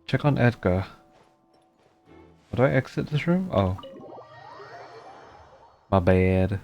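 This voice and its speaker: A man's voice: lowish voice, expressive, slow, gravelly, a little mellow. A man giving casual, laid-back gameplay commentary, murmuring reactions and reading dialogue aloud. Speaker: streamer playing a game. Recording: game music behind the voice.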